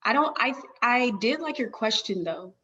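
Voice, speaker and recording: A woman's voice: fairly high pitch, lively intonation, moderate pace, bright, forceful tone, a little hiss or hum. A woman chatting casually as an actor giving feedback, on slightly lo-fi call audio. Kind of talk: casual chat.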